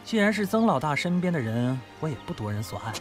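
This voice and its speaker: A man's voice: on the high side, sing-song, quick pace, music in the background. A man playing a crude, menacing, mocking gangster kidnapper in an audio drama, taunting and foul-mouthed. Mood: mocking menace.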